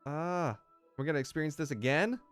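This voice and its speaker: A man hosting a gaming video, reacting out loud to a visual novel with exclamations and swearing. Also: fairly high pitch, brisk, clean tone, soft background music. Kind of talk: gameplay commentary, reading visual novel dialogue aloud.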